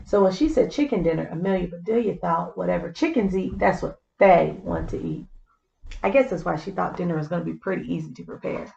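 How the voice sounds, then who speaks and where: A woman's voice: on the low side, fairly quick, vocal fry, warm tone, echoey room, lo-fi sound, slight background noise. A woman reading a children's story aloud, with explanatory asides to young listeners. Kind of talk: scripted storybook narration with simple asides.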